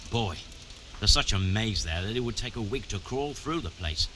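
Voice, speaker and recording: An actor playing a stern, middle-aged medieval mining chief in a video game, brusque and commanding, with formal wording. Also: male voice, lowish voice, lively intonation, moderate pace, background noise, lightly acted. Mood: firm, warning. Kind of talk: scripted video game dialogue. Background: music.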